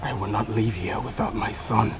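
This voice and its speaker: A man's voice: fairly low pitch, steady tone, moderate pace, heavy background noise, natural voice. A man with a Nigerian accent playing a defiant, stubborn father, making emphatic declarations in dramatic film style. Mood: defiant, intense. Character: defiant, stubborn man claiming his son. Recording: loud music under the dialogue.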